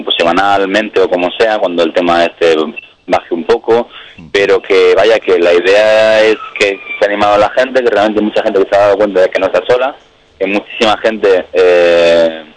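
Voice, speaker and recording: A man's voice: deep voice, steady tone, normal speed, a little hiss or hum, low-quality recording. A man speaking by phone as a guest on a radio show, eager and rambling with many restarts. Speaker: guest, protest camp spokesperson. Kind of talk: phone interview. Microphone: telephone.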